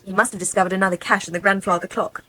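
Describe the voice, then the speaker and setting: A woman's voice: medium pitch, fairly even intonation, very fast, bright, forceful tone. A woman playing a precocious, self-assured teenage girl in a radio soap, with an educated English accent and a pert, breezy manner. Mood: breezy, self-satisfied. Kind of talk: naturalistic radio soap acting.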